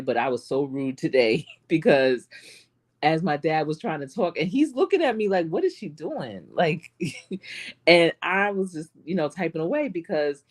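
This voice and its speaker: A middle-aged woman with a Charlotte accent, a life coach chatting casually to live stream viewers and telling stories with lots of laughter. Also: extremely low pitch. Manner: animated storytelling with frequent laughs.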